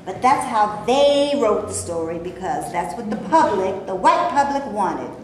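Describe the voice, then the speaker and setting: A woman's voice: mid-range voice, lively intonation, a little slow, a little mellow, close to an everyday voice. Woman acting an outspoken, indignant maid in an echoey room. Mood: wry, indignant. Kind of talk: staged reading of a stage play. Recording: echoey room, faint noise, live stage.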